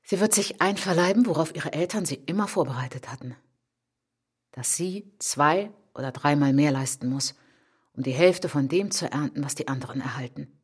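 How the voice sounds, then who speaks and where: Eine Schauspielerin spielt in einem literarischen Hörspielmonolog eine stolze, verletzte junge Französin, die nachdenklich, eindringlich und bedacht von sich erzählt. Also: female voice, low-pitched, breathy edge. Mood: bitter, subdued. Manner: intense, deliberate, sometimes dropping to a whisper. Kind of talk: literary radio drama monologue.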